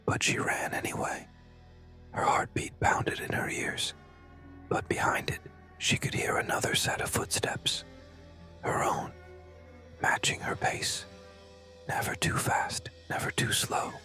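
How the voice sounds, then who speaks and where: A narrator telling a scary story in a breathy, hushed whisper, with dramatic short suspenseful phrases. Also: a little slow, pauses often. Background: music.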